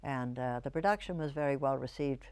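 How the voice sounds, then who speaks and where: An older woman, an actress, reminiscing in an interview, telling stories in a reflective, halting way. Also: very deep voice, a little mellow, clean tone.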